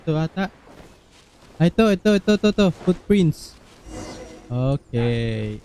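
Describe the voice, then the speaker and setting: A man's voice: fairly high pitch, big pitch swings, normal speed, mellow, a little hiss or hum. A man giving casual let's-play commentary, muttering to himself. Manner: mumbly, talks to himself, mixes in Tagalog. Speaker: game streamer. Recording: voice over game music. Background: music.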